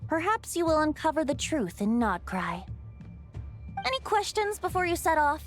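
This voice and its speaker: Lilting, playful storytelling mentor. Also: female voice, high voice, sing-song, moderate pace, faint music behind. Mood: knowing, playful. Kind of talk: video game dialogue acting.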